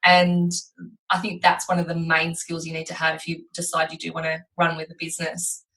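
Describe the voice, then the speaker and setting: A woman's voice: deep voice, completely flat delivery, fairly quick, fairly bright tone, far from the mic, low-quality recording. A woman with an Australian accent hosting a podcast in easygoing conversation, chatty and often restarting her sentences. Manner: chatty, searching for words, with frequent restarts. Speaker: podcast host. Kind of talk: casual interview chat.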